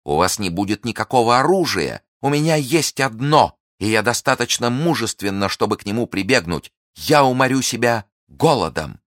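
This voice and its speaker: A man's voice: deep voice, normal speed, a bit bright, no echo, studio quality. A man reading an audiobook, voicing a proud, vengeful young woman who speaks in a defiant, declamatory way.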